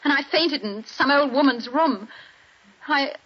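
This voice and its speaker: An actress playing a frightened young wife in a 1940s radio drama, pleading and breathless. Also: female voice, high voice, a little slow. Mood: anxious. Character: frightened young wife telling detectives what she saw. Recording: dull 1940s radio broadcast.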